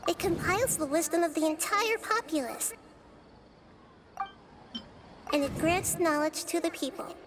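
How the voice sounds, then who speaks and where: High-voiced, wise little goddess. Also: female voice, background noise. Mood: solemn, explaining. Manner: measured, explanatory, teacherly. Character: small wise young goddess, gentle, patient, knowing. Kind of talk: anime video game voice acting.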